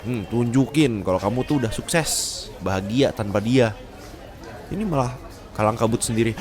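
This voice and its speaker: A man's voice: mid-range voice, moderate pace, crowd noise, natural voice. A man playing a stern, haughty young boss who also teases as a boyfriend, in an Indonesian ASMR roleplay. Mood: teasing.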